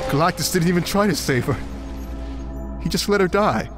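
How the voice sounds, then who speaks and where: Man as high-voiced, impassioned grieving brother. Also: animated, normal speed, music in the background. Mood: anguished, bitter. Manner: impassioned, melodramatic. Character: grieving brother, anguished self-blaming man. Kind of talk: scripted comic-book drama.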